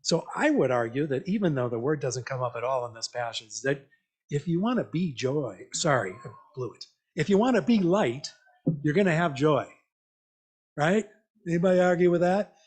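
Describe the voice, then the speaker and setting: A man giving an informal, interactive church message in a conversational, reflective, halting way, often checking in with questions. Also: a little high, animated, husky. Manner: invites listeners with questions. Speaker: lay church speaker.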